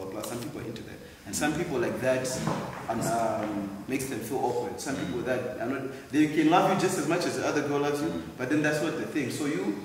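Very roomy, distant recording: male, 20s, informal group discussion. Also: mid-range voice. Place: large indoor hall. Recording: echoey hall, distant mic, slight noise.

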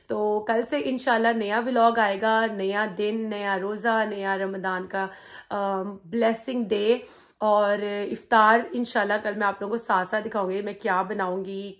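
A woman in her thirties, hoarse from a sore throat, chatting slowly and tiredly to her phone camera at home as a family vlogger. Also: on the high side. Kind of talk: casual vlog chat to camera. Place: indoors at home. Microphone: phone held at arm's length.

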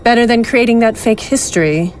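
A woman playing a cold, power-hungry alien leader in an audio drama, speaking formally and deliberately as a schemer. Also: a little high, faint music behind, lightly acted. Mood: persuasive, secretive.

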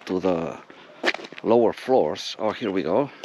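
Guy narrating slow while poking around an abandoned concrete site outside, action cam, bit of hiss. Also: sits low, animated. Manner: unhurried, plainly describing what he sees. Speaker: explorer filming an abandoned building. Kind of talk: casual running commentary while exploring. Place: outdoors on an unfinished concrete structure. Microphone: action camera held or worn.

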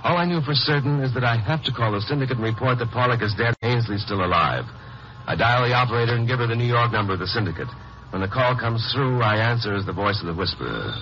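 An actor playing a steady, wry lawyer-sleuth hero in a 1950s radio crime drama. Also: male voice, medium pitch, fast talker, close to an everyday voice. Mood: dry narration. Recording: muffled, noisy old radio recording.

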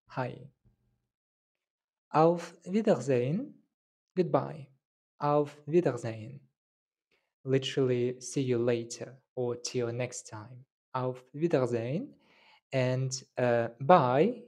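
A man's voice: mid-range voice, warm tone, husky. A young man whose first language is Tatar, talking casually to camera as a language teacher, thinking aloud with fillers, into a handheld clip mic indoors. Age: young adult. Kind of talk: casual video talk. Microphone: handheld lapel mic held near mouth.

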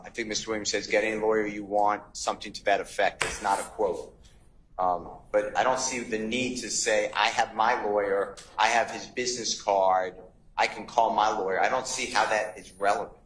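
The room echoes and a faint noise sits behind this man's voice. He is a middle-aged defense attorney with a fairly low pitch. He argues his objection to the court. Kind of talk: courtroom argument. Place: large wood-paneled courtroom. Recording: roomy courtroom echo, background noise.

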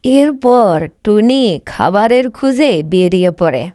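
Slow-paced woman storyteller, slightly high. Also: expressive. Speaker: storyteller, narrator. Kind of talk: scripted children's cartoon narration.

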